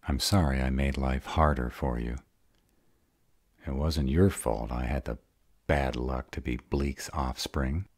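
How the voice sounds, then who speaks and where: Man playing a very deep-voiced sarcastic vampire. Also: measured pace, no echo, studio quality, full character voice. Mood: apologetic. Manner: sarcastic, wry. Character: sarcastic grown male vampire, guarded, insecure, starved of love. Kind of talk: audio roleplay acting.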